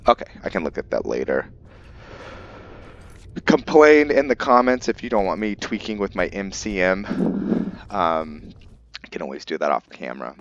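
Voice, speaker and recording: A man's voice: sits low, muffled recording. A man giving casual game commentary to viewers, rambling as he thinks aloud and explains things. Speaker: gameplay video creator, player. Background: music.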